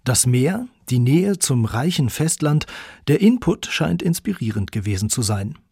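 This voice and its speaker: A man's voice: medium pitch, very animated, unhurried, a little mellow, slightly rough, dry recording, clean studio sound. A man reading scripted narration for a radio documentary.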